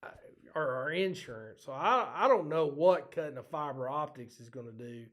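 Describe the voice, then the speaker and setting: Man, somewhat high voice, slightly hoarse, blunt rambling rant, casual podcast host. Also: lively intonation, normal speed, a bit bright. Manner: ranting, blunt, self-deprecating.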